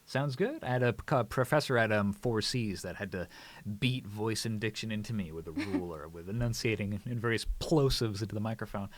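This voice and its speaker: A man's voice: lowish voice, fairly quick, slight background noise. A man hosting a casual radio interview, chatty and jokey, with rambling questions.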